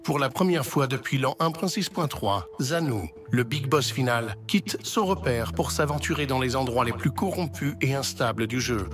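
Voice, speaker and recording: Guy playing a game narrator, medium pitch, wry and a bit forgetful, music behind. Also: animated, moderate pace, hardly any pauses, fairly bright tone, lightly acted. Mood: wry, grand. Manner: wry, tongue-in-cheek. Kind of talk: comedic storybook-like game narration.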